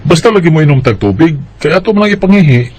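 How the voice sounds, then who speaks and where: A man's voice: a little high, expressive, fast, a little mellow. A man with a Cebuano Bisaya accent playing a matter-of-fact, dismissive but reassuring doctor in a radio drama. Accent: Cebuano Bisaya Filipino.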